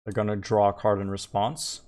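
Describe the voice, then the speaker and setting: A man's voice: on the low side, flat delivery, normal speed, a little mellow. A man from Quebec with a French accent, streaming a live card game and thinking aloud through his plays, with frequent fillers and easygoing asides to chat. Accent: Québécois French. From Quebec, Canada. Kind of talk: live gameplay commentary.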